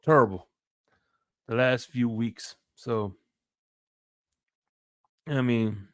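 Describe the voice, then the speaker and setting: A man's voice: mid-range voice, extremely slow, fairly bright tone, clean tone, no room sound, clean studio sound. A man talking football as a guest fan, blunt and joking, with hesitant starts. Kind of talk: casual sports chat.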